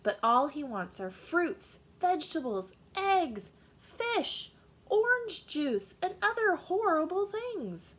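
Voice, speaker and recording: A fussing storybook mother goat, acted out by a woman reader, proper and disapproving. She exclaims in a motherly way, with a high voice that swoops widely. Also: very animated, takes it slowly, a bit bright, full character voice. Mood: appalled.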